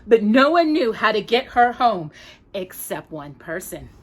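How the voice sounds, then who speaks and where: A woman telling a children's story in an expressive, dramatic manner, drawing out key words. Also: mid-range voice, unhurried, slight background noise. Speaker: storyteller, mother reading to her daughter. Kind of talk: children's storytelling with bits of song.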